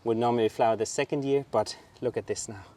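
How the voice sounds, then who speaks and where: An enthusiastic man with a Danish accent walks through a garden, chatting casually about what is in bloom. He keeps exclaiming over the plants and pointing things out. His voice sits at an ordinary middle pitch for a man. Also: steady tone, quick pace, warm tone. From southern Denmark. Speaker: garden show host. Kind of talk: casual garden tour chat. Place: outdoor garden.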